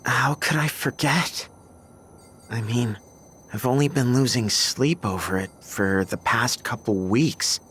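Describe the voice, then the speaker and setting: An actress playing a plucky, casual young female engineer in a video game cutscene, expressive and excitable. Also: rumbling low, slow, a little hiss or hum. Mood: casual, determined.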